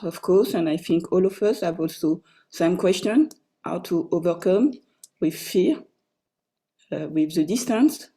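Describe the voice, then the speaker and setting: A woman from France with a French accent and French as her first language, a co-author speaking haltingly with many ums on a video call. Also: on the low side, mellow. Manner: hesitant, many ums and uhs. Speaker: co-author at a book launch. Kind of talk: casual online talk.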